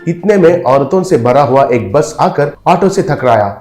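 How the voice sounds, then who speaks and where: A man's voice: on the high side, expressive, unhurried, a little mellow, reverberant, faint music behind. A man narrating a scripted comic story as its storyteller.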